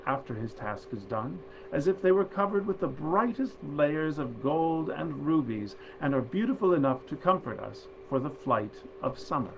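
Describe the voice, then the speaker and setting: A man's voice: a little high, vocal fry, a little hiss or hum, low-quality recording. A man hosting a literary reading, speaking as a presenter and storyteller of old tales. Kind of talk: informal introduction, then storybook reading aloud.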